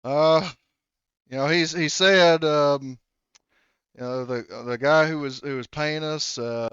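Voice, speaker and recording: A man's voice: fairly high pitch, steady tone, slow, fairly bright tone, smooth, dry recording, muffled recording. A man working as a game master, narrating a tabletop game casually in an informal, profane, rambling way.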